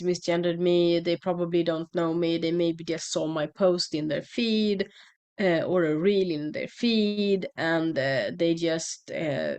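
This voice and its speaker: A woman from Sweden with a Swedish accent, talking casually to her channel's viewers and often pausing with fillers and restarts. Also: fairly low pitch, a little mellow. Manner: hesitant, many fillers and restarts. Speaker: channel host. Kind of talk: casual monologue to camera.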